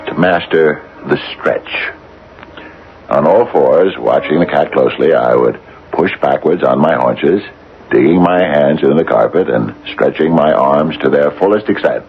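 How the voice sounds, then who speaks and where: An older widower in a radio drama, with a very deep, creaky voice. He is nervous and a bit eccentric, stammering and doubling back on his words. The old radio recording is dull and noisy. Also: heavily acted. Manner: hesitant, stammering, repeating himself. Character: hesitant, nervous retired widower near sixty, mildly eccentric.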